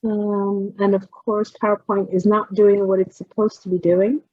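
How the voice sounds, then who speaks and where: Woman, New Jersey accent, relaxed workshop host on a dry, dull computer mic. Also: medium pitch, normal speed, no room sound. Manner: relaxed, chatty, often trails off while fumbling with screen sharing. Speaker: poet leading a workshop. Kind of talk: casual workshop talk on a video call. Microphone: computer mic on a video call. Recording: dull, lo-fi video call audio.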